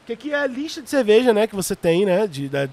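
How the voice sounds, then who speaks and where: A male podcast host interviewing a guest in a lively, slangy, casual way. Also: high-pitched, quick pace, a crowd in the background.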